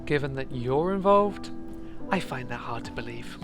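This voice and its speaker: A woman's voice: extremely low pitch, expressive, moderate pace, music in the background. A woman playing a sardonic, impatient young explorer and loner in a fantasy audio drama, grumbling bluntly. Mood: sardonic, wary.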